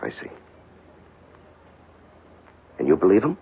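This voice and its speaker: Weary skeptical police detective, American, raspy and gravelly, colloquial, emphatic, lo-fi seventies radio drama. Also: male voice, deep voice, huge pitch swings, racing, exaggerated voice. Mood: guarded, terse. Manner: colloquial, emphatic, matter-of-fact. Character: weary, gruff police detective husband, plain-spoken and skeptical. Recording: dull lo-fi 1970s radio recording.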